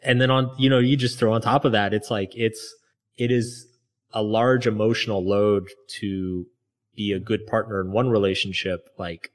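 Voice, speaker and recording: A man's voice: lowish voice, little pitch movement, moderate pace. A man being interviewed as a guest author, talking in a loose, reflective way with many fillers. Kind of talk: candid conversational interview.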